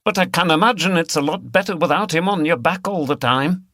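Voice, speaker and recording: Male reader doing a cheeky pub joker, wry and teasing, bright punchy voice, very dry recording. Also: on the high side, fairly quick, no room sound, pitched above the performer's own voice, close to an everyday voice.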